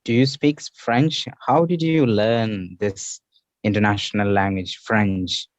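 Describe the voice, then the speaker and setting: A man interviewing a guest, asking questions in slow, searching English. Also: fairly low pitch, steady tone, no echo, lo-fi sound.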